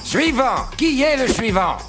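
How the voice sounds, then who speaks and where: An actor playing a pompous, dim-witted and self-assured grand vizier in a children's cartoon, stammering and blustering. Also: male voice, extremely high pitch, sing-song, unhurried, music in the background, heavily acted. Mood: haughty, critical. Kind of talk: French children's cartoon acting.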